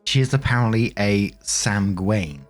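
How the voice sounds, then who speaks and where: A man's voice: lowish voice, unhurried. A man hosting a story show, reading internet posts aloud in a casual, conversational way and dropping in his own reactions. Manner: conversational, interjects exclamations and asides. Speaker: host narrating online relationship stories. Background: background music.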